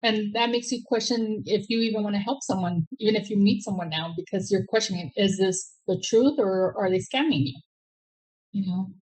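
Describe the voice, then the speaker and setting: A woman's voice: mid-range voice, normal speed, a little mellow, rough recording. A woman speaking casually as an interview guest, recounting her own experience. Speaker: guest, scam victim. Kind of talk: casual personal account.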